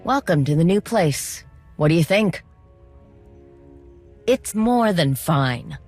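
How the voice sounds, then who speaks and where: An actress playing a friendly, determined droid tinkerer in a video game, talking in a casual, reassuring way. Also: female voice, lowish voice, lively intonation, slow, a little mellow, faint music behind. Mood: friendly, upbeat.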